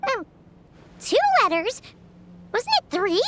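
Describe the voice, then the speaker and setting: A tiny floating fairy girl with a very high, squeaky voice that bounces all over the place. She talks slowly and exclaims about herself in the third person. The game audio carries music behind her. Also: lively intonation, background noise, full character voice. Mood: indignant. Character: tiny floating female fairy companion, chirpy and excitable.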